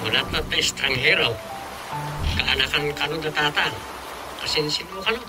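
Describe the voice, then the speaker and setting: An actor with an Ilocano Filipino accent playing an excitable, suspicious, grumbling village man in a radio drama comedy. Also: on the high side, normal speed, music in the background, natural voice, rough recording. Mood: wary, joking.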